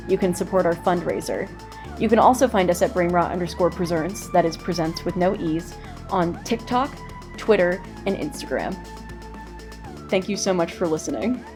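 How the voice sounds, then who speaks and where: A woman with a slightly low voice reads scripted podcast credits as the announcer. Faint music plays behind the voice. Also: darkish tone.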